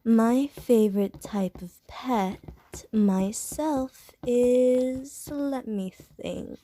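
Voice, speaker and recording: A woman voicing a flirtatious, predatory female vampire in an ASMR roleplay, speaking softly and teasingly and slipping into whispers. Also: a little high, slight background noise. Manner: slow, soft, teasing, drops into whispers.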